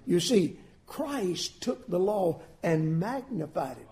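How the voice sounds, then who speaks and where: A man preaching a sermon with folksy asides, swinging between loud emphatic lines and soft drawn-out phrases. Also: high-pitched, expressive.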